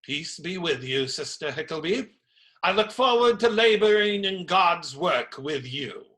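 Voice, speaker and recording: Radio play friar, male actor: bright forceful voice, unhurried, sardonic, irreverent. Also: fairly high pitch, lively intonation. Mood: courteous. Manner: hesitant, dry, sardonic. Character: Friar Tuck, cynical, blunt, irreverent male priest.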